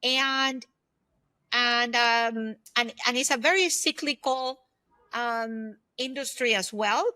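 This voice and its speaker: A woman's voice: a little high, takes it slowly, bright, forceful tone, clear, resonant tone, dry recording. A woman in her fifties giving reflective, conversational answers as an interview guest. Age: 50s. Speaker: podcast guest, former executive turned solopreneur.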